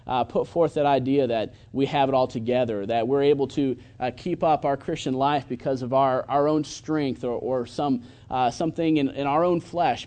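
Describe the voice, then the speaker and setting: A man in his thirties from Michigan, a pastor preaching and praying earnestly, pausing often with filler sounds. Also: mid-range voice, darkish tone. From Michigan, USA. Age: 30s. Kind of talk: church sermon and prayer.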